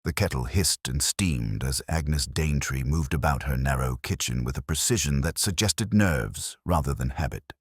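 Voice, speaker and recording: A man's voice: rumbling low, moderate pace, few pauses, breathy edge, no echo, clean studio sound. A man reading a scripted mystery story as a narrator. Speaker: audiobook narrator.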